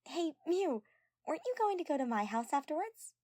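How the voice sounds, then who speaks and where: A woman's voice: high voice, sing-song, normal speed, darkish tone, voice pushed up. A woman voicing a sweet, friendly teenage girl in an audio story, playful and teasing. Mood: bright, playful. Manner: playful, sing-song teasing.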